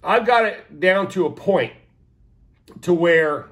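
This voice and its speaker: A man's voice: high-pitched, animated, takes it slowly, fairly bright tone. Rambling sniffly man in his sixties. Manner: rambling, many fillers and restarts. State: sniffly, stuffed-up sinuses. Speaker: vlogger. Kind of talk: casual talk to camera.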